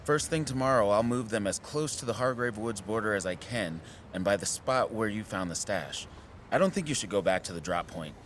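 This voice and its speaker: A man's voice: fairly low pitch, animated, brisk, a bit bright. A man playing an earnest, protective conservationist in an audio drama, plainspoken, over noisy outdoor city ambience. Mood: resolved, cautious. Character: earnest, protective male bird conservationist and forest defender.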